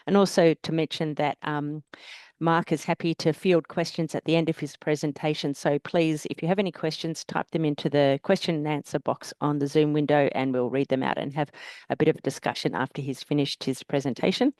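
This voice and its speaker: A woman's voice: low-pitched, fairly even intonation, a little mellow, dry recording. Woman hosting a webinar. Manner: measured, reads prepared text with small slips. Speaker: host, GP education advisor. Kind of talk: webinar hosting, reading introduction. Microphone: video call.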